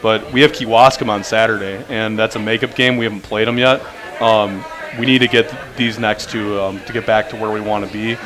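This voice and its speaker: A man who coaches high school basketball, talking earnestly and upbeat as a radio guest. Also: fairly even intonation, fairly quick, music in the background. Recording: busy bar crowd behind. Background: bar crowd chatter.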